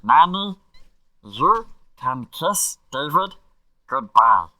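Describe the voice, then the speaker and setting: A man's voice: on the high side, very animated, drawn-out words, bright, forceful tone, voice pushed up, full character voice. A man voicing a small, vengeful alien creature in a throaty cartoon voice, speaking broken English with menacing cuteness. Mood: vengeful, sulky.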